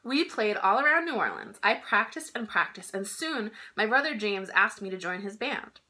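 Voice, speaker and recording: A woman in her thirties reading a children's picture book aloud in an expressive, emphatic storytelling style. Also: medium pitch, normal speed, a little hiss or hum, poor audio. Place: small indoor room at home.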